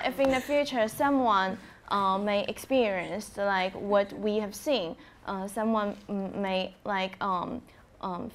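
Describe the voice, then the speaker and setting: A woman's voice: medium pitch, a little hiss or hum. Reflective woman from China. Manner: reflective, many "like"s, laughs between thoughts. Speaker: playwright. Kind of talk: panel introduction.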